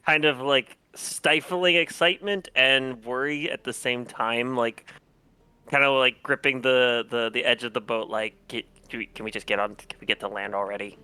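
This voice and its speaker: A man's voice: mid-range voice, sharp tone, clear, resonant tone. A man playing a tabletop game, chatting and role-playing casually. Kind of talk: casual role-play chat.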